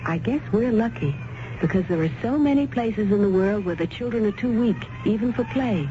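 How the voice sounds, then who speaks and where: A woman reading a scripted radio charity appeal in an earnest, measured way. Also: medium pitch, animated. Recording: dull, clearly noisy old radio recording.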